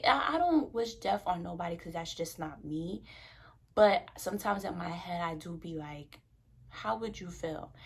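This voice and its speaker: A woman's voice: medium pitch, creaky, slightly rough. A woman, talking frankly and passionately to the camera in a loose, conversational vlog. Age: 20s. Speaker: vlogger. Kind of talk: casual talk to camera.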